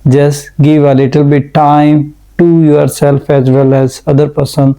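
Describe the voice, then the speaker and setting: Slow gravelly man teaching. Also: fairly high pitch, little pitch movement, warm tone. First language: Urdu. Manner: slow, hesitant, many fillers. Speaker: English teacher. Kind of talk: motivational English-speaking lesson.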